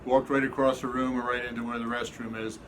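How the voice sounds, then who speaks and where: A man speaking from across a hospital room, giving plain, flat remarks. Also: medium pitch, quick pace. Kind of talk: casual remarks off camera. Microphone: away from the mic, off camera. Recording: distant voice in noisy room.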